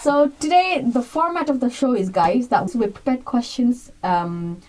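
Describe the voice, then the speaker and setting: A woman in her thirties co-hosting a casual chat show, lively and quick to laugh, mixing in Nepali words. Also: on the high side. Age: 30s. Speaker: co-host. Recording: distant mic with clear background noise.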